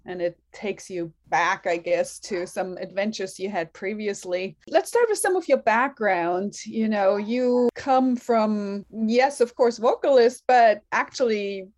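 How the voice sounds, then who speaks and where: A woman's voice: medium pitch, measured pace, hardly any pauses, darkish tone. A woman hosting an interview podcast, introducing her guest and asking loosely phrased questions. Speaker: podcast host.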